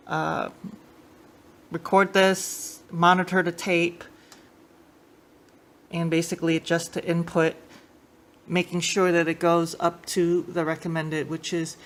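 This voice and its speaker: A woman giving a casual how-to demonstration as a host, explaining informally and a little hesitantly. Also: lowish voice. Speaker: host of a tape deck tech channel. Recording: clear background noise, room ambience.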